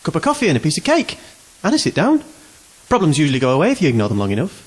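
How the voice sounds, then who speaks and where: A man's voice: a little high, huge pitch swings, rapid-fire, slightly rough, heavily acted. An actor playing an awkward, eager young man who talks nervously and rambles, in an audio drama.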